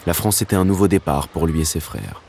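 A man's voice: low-pitched, very animated, fairly quick, gravelly, a little mellow, a little hiss or hum, exaggerated voice. An actor playing a dreamy screenwriter in his thirties, who tells his father's story reflectively in an intimate podcast drama. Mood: nostalgic. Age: 30s.

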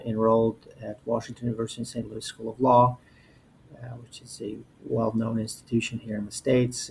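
A man in his forties from Albania, a native Albanian speaker, telling his personal story as an interview guest in a halting, repetitive way. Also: fairly low pitch, gravelly, a little mellow, rough recording. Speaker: interview guest, corporate lawyer. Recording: noticeable background noise.

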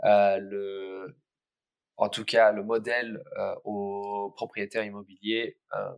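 Irish-accented man, native English speaker, lowish voice, hesitant guest answers. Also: little pitch movement, takes it slowly, gravelly. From Ireland. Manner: hesitant, many fillers and restarts. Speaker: startup cofounder, guest. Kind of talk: casual interview answers.

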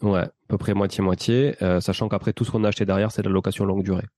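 A man with a dark, relaxed voice talks quickly as a guest on a podcast. He explains things informally, with slang, in a conversational flow. The recording is dry. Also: low-pitched, no echo. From Portuguese family background. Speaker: podcast guest, property investor and entrepreneur. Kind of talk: casual conversational interview, explaining own experience.

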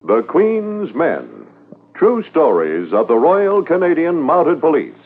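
A man's voice: on the high side, wildly swooping pitch, slow pace, husky. A man announcing a 1950s radio drama in a declamatory, dramatic scripted style. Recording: narrow-band 1950s radio transcription.

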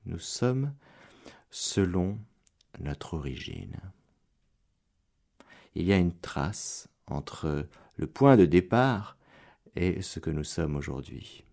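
A male priest giving a radio sermon, preacherly, rising to loud exclamations and dropping to hushed prayer. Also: deep voice, animated, gravelly. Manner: slow, deliberate delivery. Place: radio studio.

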